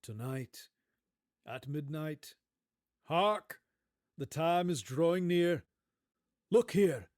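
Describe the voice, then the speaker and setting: A man's voice: on the high side, expressive, very slow, long pauses, darkish tone, dry recording, clean studio sound, pitched above the performer's own voice. A man voicing a solemn, aging Christmas spirit with a grave, declamatory delivery in a storybook reading. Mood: grave, urgent.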